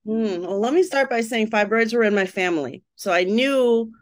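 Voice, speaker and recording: A woman's voice: on the high side, moderate pace, no room sound. A woman from Trinidad, a writer and blogger, chatting as a podcast guest and telling her story candidly with frequent laughs. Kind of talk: casual interview chat.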